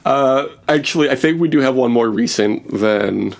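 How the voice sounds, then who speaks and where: Male podcast host, thirties, medium pitch, jokey and self-mocking, casual back-and-forth banter. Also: moderate pace, vocal fry.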